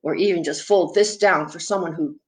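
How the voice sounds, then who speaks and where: A woman's voice: on the low side, a little fast. A woman presenting to young viewers as a children's storyteller, explaining props and puppets simply and putting questions to them. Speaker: children's storyteller and theatre performer.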